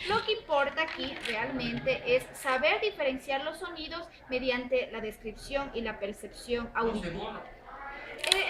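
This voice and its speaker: High, lively young woman presenting a lesson. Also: poor audio. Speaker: student presenter. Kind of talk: reading a class presentation.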